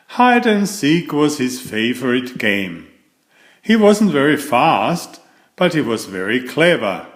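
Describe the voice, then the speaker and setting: A man reads a children's bedtime story with deliberate, expressive delivery. His pitch moves up and down freely while the pace stays slow. The room adds a noticeable echo. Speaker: storyteller reading a picture book. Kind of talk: bedtime story read-aloud. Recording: echoey room sound.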